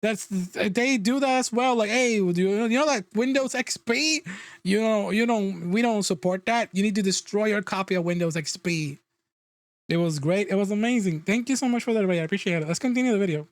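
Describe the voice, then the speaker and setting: A man's voice: high voice, fairly quick, dry recording. A man streaming a reaction video, chatting casually and joking with his guest and viewers.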